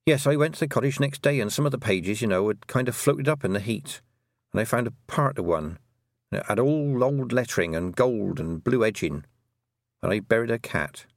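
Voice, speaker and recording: A man's voice: medium pitch, lively intonation, normal speed, dry recording, studio quality, pitched above the performer's own voice, lightly acted. A man voicing an earnest, stubborn and anxious young girl who hesitates, then blurts things out, in an audiobook. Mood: blurting, upset. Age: child. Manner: hesitant openings, then breathless rush of detail. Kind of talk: audiobook character dialogue.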